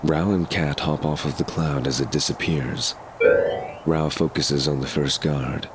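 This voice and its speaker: A man's voice: rumbling low, steady tone, unhurried. A man reading scripted narration for an audio drama, telling the story in the third person. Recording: clear background noise under voice.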